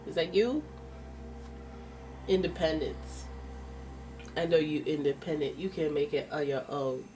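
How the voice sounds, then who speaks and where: A woman's voice: low voice, a little slow, a little mellow, distant mic, soft background music, rough recording. Stuffy-nosed woman giving a chatty tarot reading. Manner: chatty and exclamatory, thinking aloud. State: stuffy nose. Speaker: tarot reader. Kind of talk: casual tarot reading to camera.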